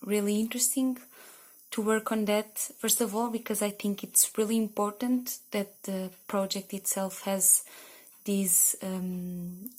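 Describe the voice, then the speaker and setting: Young woman, Portuguese accent, flat monotone, slow halting delivery, recorded message. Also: mid-range voice. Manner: slow, halting, searching for words. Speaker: research project translator. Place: indoor room.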